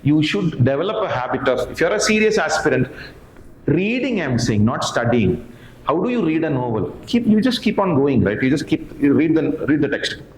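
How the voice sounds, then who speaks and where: A man's voice: on the high side, sing-song, moderate pace. Middle aged indian guy teaching an exam class, emphatic, repeats himself, echoey room w some background noise. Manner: emphatic, repeats phrases and poses questions to answer himself. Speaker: history teacher at exam coaching academy. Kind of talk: informal exam-analysis lecture. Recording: roomy echo, clear background noise.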